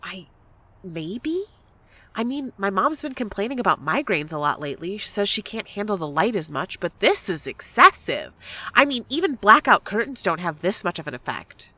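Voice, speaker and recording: A woman playing an anxious, suspicious, sharp-tongued young woman in an audio drama, blunt and pressing. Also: medium pitch, very animated, moderate pace. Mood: skeptical.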